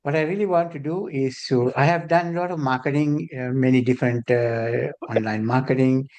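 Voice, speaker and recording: A man's voice: mid-range voice, a little fast, darkish tone. A man from Sri Lanka, a therapist and trainer introducing himself matter-of-factly on a video call. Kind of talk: self-introduction on a group call.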